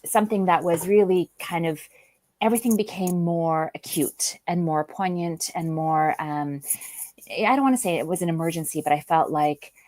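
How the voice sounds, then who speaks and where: A woman speaks low and unhurried, circling her thoughts with soft fillers and restarts. Her voice sits close and dry, with no room around it. She sounds like a guest reflecting aloud in an interview. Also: no room sound. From Canada. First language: Polish. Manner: thoughtful, many ums and restarts. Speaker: guest author and linguist. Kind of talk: conversational interview.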